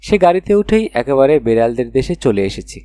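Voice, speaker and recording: A man narrating a children's fairy tale audiobook in an expressive storytelling style. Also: medium pitch, brisk, no echo.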